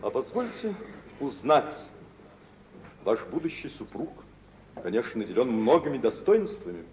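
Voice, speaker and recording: An actor playing a suave, self-assured gentleman shipowner in an old Russian radio drama, ironic and smoothly condescending, in a theatrical manner. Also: medium pitch, very animated, measured pace. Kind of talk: mid-20th-century Russian radio theatre acting. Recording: muffled, hissy old radio drama recording.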